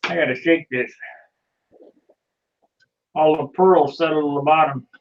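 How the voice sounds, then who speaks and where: A man with a somewhat high voice chats casually on a video call. He sits away from the microphone, so his voice comes through distant and dull, lo-fi. He talks as he handles his gear. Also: fairly even intonation, measured pace. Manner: chatty, fumbles with a can while talking. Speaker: hobbyist showing his paint.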